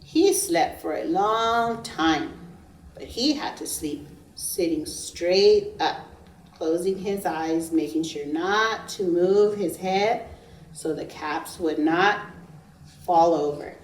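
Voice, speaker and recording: Slow-paced woman reading aloud, echoey room. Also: lowish voice. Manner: emphatic, pausing to ask listeners questions. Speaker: preschool teacher. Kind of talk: read-aloud storytelling for young children. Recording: roomy echo, distant microphone.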